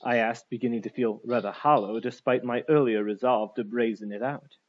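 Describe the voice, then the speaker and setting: Husky man narrating a novel, dull recording. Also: mid-range voice, fairly even intonation, a little fast. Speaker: audiobook narrator. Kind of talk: scripted literary narration.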